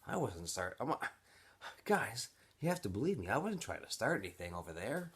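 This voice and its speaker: A man's voice: lowish voice, very animated, normal speed, slightly rough. Man playing weary teen newcomer, earnest, hesitant, placating, timid storybook hero. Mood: weary, defensive.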